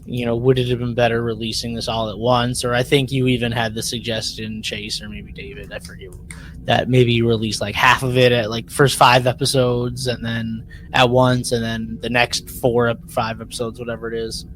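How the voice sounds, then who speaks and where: A man co-hosting a podcast in casual chat, rambling and halting, often repeating words as he works out a point. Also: medium pitch, level tone, fairly bright tone, rough recording. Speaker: podcast co-host.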